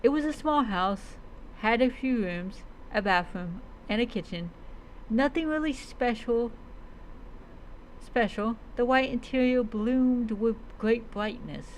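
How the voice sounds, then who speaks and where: A woman's voice: on the high side, slow pace, slight background noise, poor audio. A woman reading a first-person adventure story aloud as a role-play storyteller, stumbling and repeating words as she goes.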